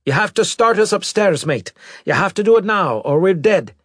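A man voicing a brash, excitable male ace pilot in an audiobook, with cheeky, urgent military talk. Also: a little high, moderate pace, no echo, studio quality, pitched above the performer's own voice. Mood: urgent, pleading. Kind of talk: audiobook character acting.

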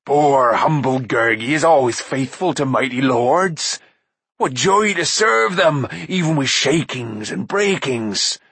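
Gurgi, a whimpering shaggy creature. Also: male voice, expressive, fairly bright tone, slightly rough, dry recording, full character voice. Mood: groveling whine. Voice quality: high, slow, whining. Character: whimpering shaggy male forest creature, groveling.

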